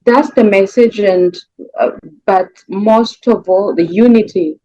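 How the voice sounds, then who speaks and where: A woman's voice: mid-range voice, slow, warm tone, highs cut off. A woman from Rwanda with a Rwandan accent answers interview questions as a singer, searching for words and repeating phrases in a conversational way.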